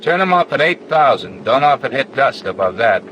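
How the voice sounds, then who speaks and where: An actor playing a patient, matter-of-fact flight instructor in a 1940s training film, measured and firm. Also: male voice, mid-range voice, sing-song, lo-fi sound, lightly acted. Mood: explanatory. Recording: 1940s film soundtrack with faint noise.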